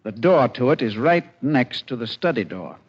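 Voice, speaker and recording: A man playing a polite, earnest amateur photographer in 1940s radio mystery style, courteous and deliberate. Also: medium pitch, very animated, breathy edge. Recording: muffled 1940s radio recording, faint noise.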